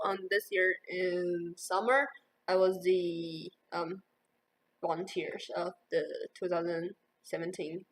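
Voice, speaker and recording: Slow, hesitant young Taiwanese-raised woman. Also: on the low side, poor audio. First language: Mandarin Chinese. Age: 20s. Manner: hesitant, many fillers like "um" and "like". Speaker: interviewee, university student. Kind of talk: casual interview answers.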